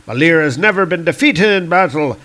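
A man's voice: on the high side, lively intonation, fairly quick, bright, forceful tone, voice pushed up. A man voicing a proud, furious warrior villain from a comic book, declaiming in grandiose archaic speech. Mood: defiant. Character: Lear, proud furious Celtic warrior villain, bombastic and defiant. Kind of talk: reading comic book dialogue aloud.

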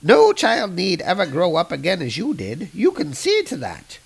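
A man's voice: high voice, wildly swooping pitch, normal speed, gravelly, slightly rough, voice pushed up, exaggerated voice. A man voicing an old male wizard who earnestly pleads for peace. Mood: earnest, urging.

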